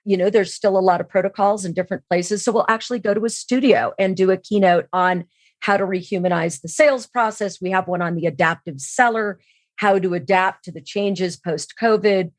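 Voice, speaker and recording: A woman talks as a guest expert in a conversational interview. She stresses key words as she lays out her points, in a mid-pitched voice with a dry, close sound. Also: brisk, no room sound. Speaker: podcast guest, sales trainer and keynote speaker.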